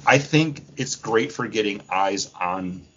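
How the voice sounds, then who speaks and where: A man co-hosting a podcast, casually running through news with a fan's enthusiasm and a husky voice. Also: on the low side, lively intonation, slow, a bit bright. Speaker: podcast co-host. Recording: dull lo-fi recording.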